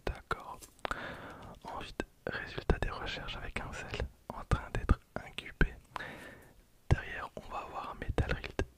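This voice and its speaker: A person in their twenties whispering casual ASMR commentary close to the microphone, with a breathy, unvoiced delivery. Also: measured pace. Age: 20s. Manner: hushed, casual asides. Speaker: ASMR video creator. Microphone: close handheld-recorder microphone.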